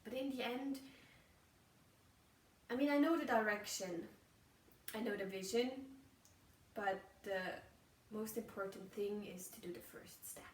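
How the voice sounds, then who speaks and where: A woman's voice: mid-range voice, slight background noise. A woman with a German accent, a native German speaker, hosting her own podcast in a casual, reflective monologue, musing and searching for words, often restarting her sentences. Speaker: podcast host. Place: outdoors while walking. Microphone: phone voice memo held away. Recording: roomy, distant phone memo with faint ambience.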